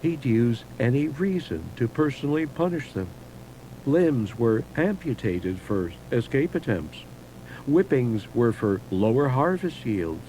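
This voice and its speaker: A man giving a measured, solemn scripted narration of eerie local history, like a documentary host. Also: medium pitch, warm tone, slight background noise, rough recording. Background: music under the voice.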